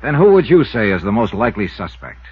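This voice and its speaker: A man's voice: fairly low pitch, big pitch swings, fast, breathy edge, no echo, heavily acted. An American man acting as a dogged, skeptical insurance investigator in a 1950s radio drama, with a clipped, hard-boiled delivery. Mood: pressing, skeptical. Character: dogged, skeptical male insurance investigator narrating his case. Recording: muffled 1950s radio recording with music.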